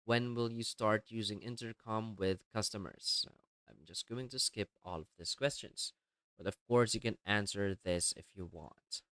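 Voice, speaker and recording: A man with a fairly low voice walks through software steps as a tutorial presenter. His tone is clean and smooth, and his delivery is plainly instructional. The voice-over is dry, with hardly any room sound. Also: normal speed, dry recording.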